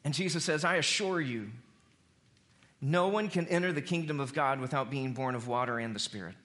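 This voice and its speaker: A man preaching a conversational sermon as an animated storyteller, repeating phrases for emphasis. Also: medium pitch, brisk. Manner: animated storyteller who acts out dialogue in slang.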